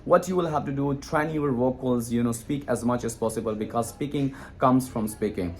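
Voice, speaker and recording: A non-native English speaker teaches on his own channel, his voice pitched in the middle range for a man. He talks in an earnest, motivating way, circling back to the same points and leaning on "you know" as he goes. Faint background noise sits under his voice. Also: normal speed, darkish tone. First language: not English. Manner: earnest, encouraging, repetitive, with constant "you know". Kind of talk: motivational talk to camera.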